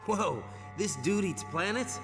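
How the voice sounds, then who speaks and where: A man's voice: high voice, expressive, takes it slowly, over music, full character voice. An actor playing a wisecracking young male hero, flippant and sarcastic, in a video game. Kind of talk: video game cutscene voice acting. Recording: long reverberant hall.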